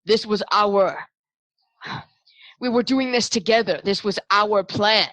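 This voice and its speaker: A desperate male knight, voiced by a woman in a tabletop game. The pitch is pushed up as the betrayed figure pleads and stammers through hurried protests. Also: a bit bright, no echo, poor audio.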